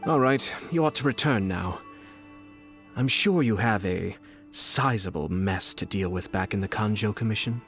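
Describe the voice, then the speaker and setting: An actor playing a poised young male clan commissioner in a game, speaking in a smooth, measured, politely threatening way. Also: fairly low pitch, faint music behind, lightly acted. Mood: coolly menacing. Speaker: game character. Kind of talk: game voice acting.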